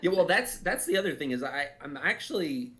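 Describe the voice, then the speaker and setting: A man's voice: fairly high pitch, animated, fairly quick, bright, forceful tone. A man giving casual behind-the-scenes commentary, explaining things in a reflective, self-deprecating way. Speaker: audio drama writer and voice actor.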